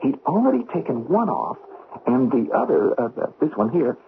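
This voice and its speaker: An actor playing an eager, clever investigator in a 1970s radio drama, explaining his deductions in an excitable, pedantic way. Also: medium pitch, normal speed, vocal fry. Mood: insistent. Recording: tinny, faintly noisy vintage radio.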